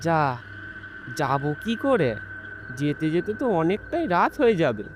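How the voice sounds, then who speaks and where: A man playing an ordinary, impulsive young man in an animated horror story, conversational and often repeating his words. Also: fairly high pitch, a little slow, noisy background. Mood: musing, hesitant.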